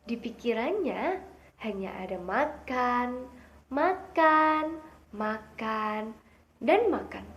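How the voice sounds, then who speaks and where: High-voiced young woman storyteller. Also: background noise. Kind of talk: expressive children's storytelling.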